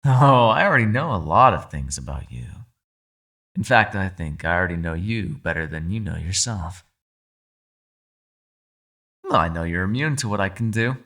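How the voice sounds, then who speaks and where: A man's voice: sits low, animated, normal speed, pauses often, bright, forceful tone, full character voice. A man playing a captive kitsune, a sly and menacing fox spirit, with silky, taunting, mock-polite delivery in an ASMR roleplay.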